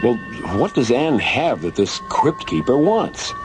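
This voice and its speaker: A man's voice: medium pitch, wildly swooping pitch, a little slow, over music. An actor playing an easygoing, wry space crewman in a science fiction radio drama. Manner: wry, casual.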